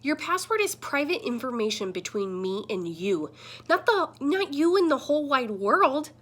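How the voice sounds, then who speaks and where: A woman's voice: sits high, very animated, normal speed, a bit bright, pitched above the performer's own voice. A woman voicing a talking computer screen in a children's picture book, a protective mentor who admonishes and instructs emphatically. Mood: exasperated scolding.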